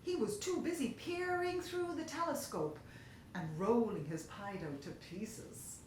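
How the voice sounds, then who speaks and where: Slow, animated woman storyteller, very echoey. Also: fairly high pitch. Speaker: story reader. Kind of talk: expressive children's storybook narration. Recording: very echoey room, faint noise.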